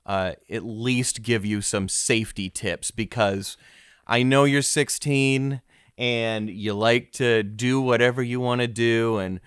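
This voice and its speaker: A man's voice: medium pitch, fairly even intonation, slow pace, fairly bright tone, smooth, no room sound, studio quality. A man hosting a mock-serious comedy interview, hesitant and stammering, sounding awkwardly earnest. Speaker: podcast host.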